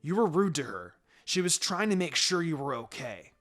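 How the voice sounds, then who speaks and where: Man as an earnest, stubborn young ninja, lively. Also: fairly high pitch, normal speed. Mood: scolding. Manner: direct, insistent. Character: earnest, stubborn teenage boy ninja, loyal and blunt. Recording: music behind the voice.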